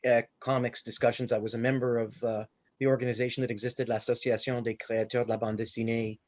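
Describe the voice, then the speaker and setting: A man's voice: medium pitch, little pitch movement, fast, a little mellow. A man from Quebec, a comics creator and panelist, speaking reflectively in an online roundtable. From Quebec, Canada. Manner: reflective, conversational. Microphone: video call. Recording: dull lo-fi video call audio.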